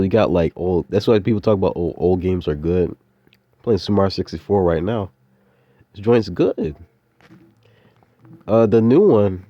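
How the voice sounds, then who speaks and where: A man's voice: low-pitched, warm tone, dry recording. A young adult man rambling casually in a laid-back, slangy, meandering way, like an informal audio diary. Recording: dull, muffled lo-fi audio.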